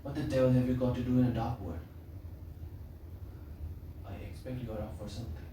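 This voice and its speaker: An actor playing a bemused friend in a stage play, questioning and scolding as he tries to calm things. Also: mid-range voice, a little fast, natural voice. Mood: puzzled scolding. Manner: questioning, chiding. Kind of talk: theatrical stage-play dialogue. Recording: very echoey room, distant mic, faint noise.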